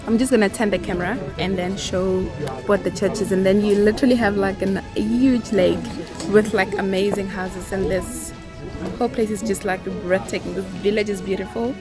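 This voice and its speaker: A woman's voice: mid-range voice, fairly even intonation, normal speed. A woman chatting casually and enthusiastically to viewers as she narrates a travel vlog. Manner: enthusiastic, admiring. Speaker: travel vlogger. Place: outdoors in a village. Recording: music behind the voice. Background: music.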